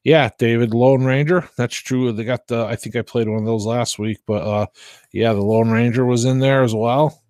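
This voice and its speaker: A man's voice: mid-range voice, steady tone, moderate pace, darkish tone, no echo, clean studio sound. An American man hosting a livestream, chatting casually with listeners in a hesitant, informal, rambling way. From United States. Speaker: livestream host.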